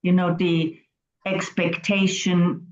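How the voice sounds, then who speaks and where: A woman's voice: fairly low pitch, flat delivery, slow, a little hiss or hum. Hesitant woman on a roomy, dull video call. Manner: hesitant, reflective, restarts words. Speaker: class participant.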